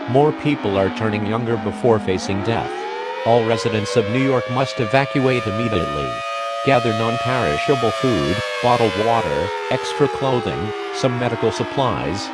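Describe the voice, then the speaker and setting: A man reading a scripted emergency alert as an announcer, on a very noisy broadcast recording. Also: medium pitch.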